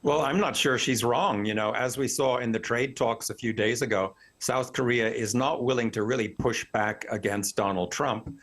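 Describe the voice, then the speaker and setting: A man with a medium-pitched voice gives expert commentary as a news guest. He is articulate and explanatory, setting out firm opinions in an analytical, professorial way. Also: normal speed, few pauses, a bit bright.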